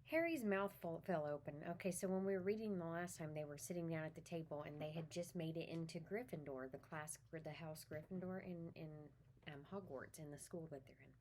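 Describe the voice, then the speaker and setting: A woman's voice: deep voice, a little fast, a little mellow, slight background noise. A woman reading a children's story aloud, talking to her listeners in a friendly, informal way. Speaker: read-aloud reader for children. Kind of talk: children's book read-aloud.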